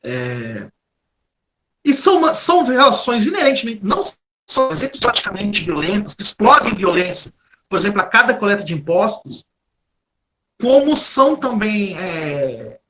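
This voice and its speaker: A Brazilian man giving an off-the-cuff academic lecture as a professor, halting and often repeating or rephrasing his words. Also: high voice, sing-song, sharp tone, rough recording. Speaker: history professor, guest lecturer.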